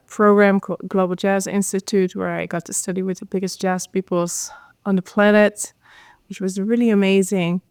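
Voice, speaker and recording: Smooth-voiced woman, even tone. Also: medium pitch, no room sound. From Netherlands. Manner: relaxed storytelling with many "um"s. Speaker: guest, jazz singer and composer. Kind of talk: casual interview chat.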